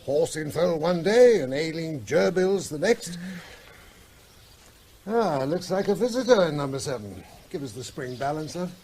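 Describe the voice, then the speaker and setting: A middle-aged country vet, teasing and matter-of-fact, fairly high voice, faint hiss. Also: male voice, very animated, a little slow, a little mellow. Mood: steady. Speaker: David Coleman, town vet. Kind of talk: vintage radio drama acting.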